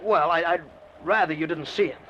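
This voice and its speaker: Nervous everyman in peril: high voice, hesitant stammering delivery, dull old radio sound. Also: brisk, sharp tone. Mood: uneasy, sheepish. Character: nervous stranded husband alone in a haunted house. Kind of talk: old-time radio suspense acting. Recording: muffled, noisy old radio recording.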